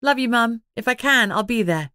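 A woman's voice: on the high side, lively intonation, normal speed, bright, forceful tone, smooth, dry recording, clean studio sound, voice pushed up. A woman voicing a frank young heroine in an audiobook, earnest and wry. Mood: affectionate.

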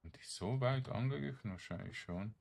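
A man's voice: lowish voice, brisk, vocal fry, a little mellow. A man giving casual let's play commentary, murmuring to himself in short remarks. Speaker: gamer, let's play host. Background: game music.

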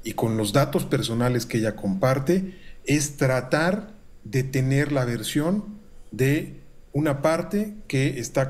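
A man's voice: medium pitch, darkish tone. Formal Mexican man on a video call. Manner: measured, formal, with filler pauses. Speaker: university researcher guest. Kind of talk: analytical commentary. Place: home room on video call. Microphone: video call webcam.

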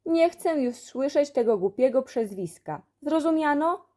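A woman's voice: high-pitched, expressive, measured pace, mellow, pitched above the performer's own voice. A woman playing a strict, easily flustered male school supervisor in a children's story, scolding indignantly. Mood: angry.

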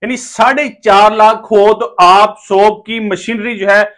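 A man's voice: high-pitched, fairly even intonation, a little slow, fairly bright tone. A man in his thirties with a Pakistani accent, asking questions as the host of an on-location interview. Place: small brick-walled workshop room indoors. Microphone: lapel.

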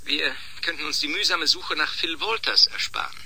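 An actor playing a callous, sarcastic official in a German sci-fi radio drama, with a biting, sardonic delivery. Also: male voice, fairly high pitch, moderate pace, a crowd in the background, close to an everyday voice. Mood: coolly scheming. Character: cynical, callous male official, sarcastic and scheming.

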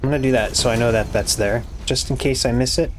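A hoarse young man with a raspy voice, casually commenting on his game as he builds, muttering to himself and swearing when things go wrong. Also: medium pitch, fast, a little hiss or hum. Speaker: gaming YouTuber. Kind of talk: casual let's-play commentary.

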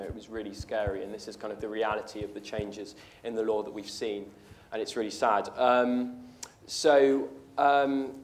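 A young man, a political candidate, answering audience questions in a university lecture hall in an earnest way, with many ums and restarts. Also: lowish voice. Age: young adult. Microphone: room mic at a lectern, far camera.

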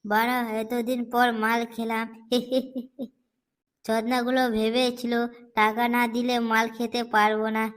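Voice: mid-range voice, little pitch movement, unhurried, a bit bright, clean tone, lightly acted. A performer voicing a sly, foul-mouthed drunken swindler in a comedy cartoon, crude and swaggering. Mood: gloating. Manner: crude, swaggering, full of swearing. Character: sly foul-mouthed drunkard conning neighbours for club money.

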